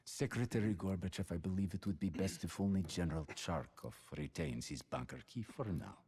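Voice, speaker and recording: A man playing a smooth-talking, menacing Soviet security investigator in a video game, measured and theatrical, politely threatening with a husky voice. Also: low voice, expressive, mellow, exaggerated voice. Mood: coolly menacing. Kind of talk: cinematic video game acting.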